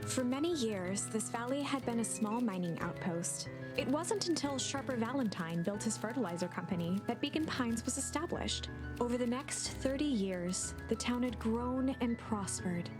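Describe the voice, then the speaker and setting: Female storybook narrator, actress in role, higher voice, calm, knowing, theatrical, measured game narration, prominent music. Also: close to an everyday voice. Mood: measured storytelling.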